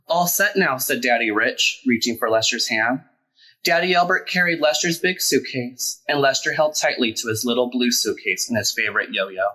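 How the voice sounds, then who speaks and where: A man reading a children's picture book aloud as a storyteller, in an expressive, deliberate way with emphasized words. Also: mid-range voice, poor audio. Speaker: storyteller, drag performer.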